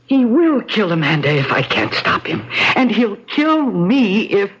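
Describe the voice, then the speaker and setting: An actress playing a defiant, determined woman in a radio mystery, speaking dramatically with deliberate, emphatic pauses. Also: medium pitch, very animated, husky. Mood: defiant, urgent. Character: defiant, determined woman designer convinced of a murder plot. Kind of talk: old-time radio mystery acting. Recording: dull, lo-fi radio drama recording.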